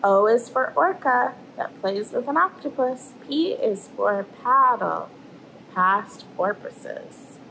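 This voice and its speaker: A woman, reading a picture book aloud to children in an animated storytelling manner. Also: a little high, slow pace, creaky, slight background noise, rough recording. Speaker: storybook reader and host. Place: indoors in front of an aquarium tank.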